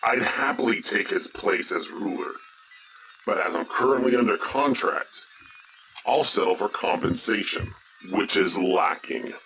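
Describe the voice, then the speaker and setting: Man acting a low, measured, proud villain commander. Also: expressive, fairly bright tone, full character voice. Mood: stern, demanding. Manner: measured, authoritative, menacing. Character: proud, stern male mercenary count and warship commander. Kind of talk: audio drama acting. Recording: faintly noisy recording.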